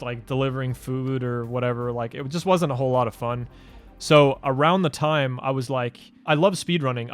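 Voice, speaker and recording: Mid-pitched young guy rambling thru a casual q&a, faint music in the back. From Denmark. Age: 20s. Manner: loose and rambling, many "like" fillers and restarts. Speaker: YouTube creator answering fan questions. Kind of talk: casual Q&A vlog.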